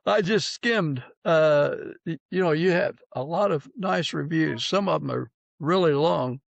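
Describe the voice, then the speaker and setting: A man hosting a podcast and interviewing casually, informal and unhurried, on a slightly dull recording. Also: a little high, creaky, no room sound. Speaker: podcast host.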